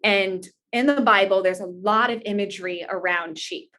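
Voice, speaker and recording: A woman's voice: medium pitch, normal speed, pushed and bright. Young American woman answering interview questions. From Indiana, United States. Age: young adult. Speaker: podcast guest, author and pageant titleholder.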